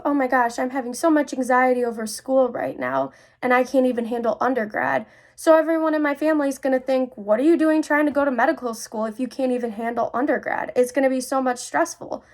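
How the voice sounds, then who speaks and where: A woman's voice: on the high side, gravelly. A young woman in her twenties speaking casually and personally to viewers as a vlog host, in a reassuring, conversational manner. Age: 20s.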